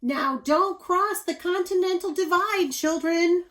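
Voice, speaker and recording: Woman playing a firm, high-pitched giant frontier mother. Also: a little slow, fairly bright tone. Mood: calling out warning. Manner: exclaiming, calling out.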